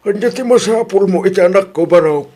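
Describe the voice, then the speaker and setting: A man's voice: high-pitched, a little fast, dark tone. A man acting a patient older father who gives advice in a Filipino radio drama. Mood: welcoming. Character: older father, a calm, advising Filipino grandfather.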